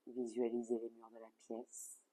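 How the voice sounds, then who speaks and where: Yoga nidra teacher doing guided meditation, soft mellow voice, hardly any pitch movement, calm and measured. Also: poor audio. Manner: calm, soothing, measured delivery with soft phrase endings.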